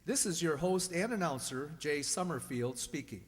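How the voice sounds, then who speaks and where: A male host announces in a scripted, old-time radio manner. His voice sits a little high for a man and carries the long reverb of a hall. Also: lively intonation, moderate pace.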